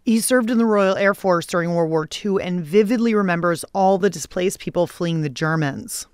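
Brisk upbeat woman radio host. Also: on the low side, a little mellow, smooth, no echo, clean studio sound. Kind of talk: scripted radio hosting.

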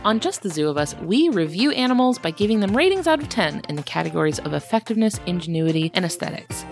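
A woman's voice: on the low side, sing-song, quick pace, faint music behind. A woman reading a scripted promo as a podcast host.

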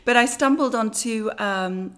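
A woman's voice: on the high side, a little slow, fairly bright tone. A woman in her thirties with a London accent chats through her life story as a podcast guest. Her telling is loose and conversational, full of fillers and little laughs. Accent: British, London. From born in Iran, grew up in London. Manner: chatty storytelling, laughs often. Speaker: guest actress. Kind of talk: casual podcast interview.

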